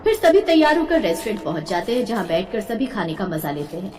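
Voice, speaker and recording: A woman's voice: medium pitch, very animated, fast, noisy background. A woman reading scripted story narration as the narrator. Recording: echoey hall-like reverb.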